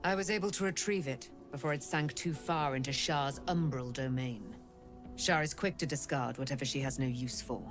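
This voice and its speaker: An actress playing a wise, commanding celestial messenger in a video game, speaking in grave, formal, deliberate phrases. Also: female voice, very deep voice, music in the background. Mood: solemn. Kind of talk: video game dramatic acting.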